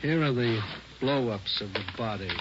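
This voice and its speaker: An actor playing a methodical police lab technician in a 1940s radio drama, speaking in terse, matter-of-fact lines. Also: male voice, mid-range voice, moderate pace, lightly acted. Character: methodical male crime lab technician, businesslike. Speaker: supporting actor. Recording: muffled, noisy old radio recording.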